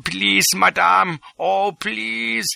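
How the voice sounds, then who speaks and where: A man's voice: high-pitched, moderate pace, creaky, bright, forceful tone, dry recording, studio quality, voice pushed up, full character voice. A man voicing a timid little male courtier who pleads, whines and is near tears. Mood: pleading. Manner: panicky, whining, near tears.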